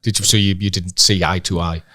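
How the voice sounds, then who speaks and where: Brisk, low-voiced male podcast host. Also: steady tone, pushed and bright, dry recording. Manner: attentive, short prompting questions and acknowledgements. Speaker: podcast host interviewing a guest. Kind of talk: casual conversational interviewing.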